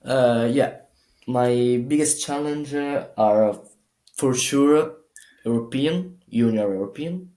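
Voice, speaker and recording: Slow, halting Italian-accented young man. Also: medium pitch, dark tone, roomy sound. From Italy. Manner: halting, many fillers and restarts. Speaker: gymnast guest. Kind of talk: interview answers.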